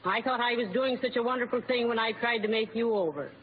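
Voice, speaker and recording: An actress playing a stubborn, long-suffering middle-aged wife in an old-time radio comedy, plain and resigned. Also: female voice, a little high, fast talker, natural voice. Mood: resigned, hurt. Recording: muffled old radio recording, faint noise.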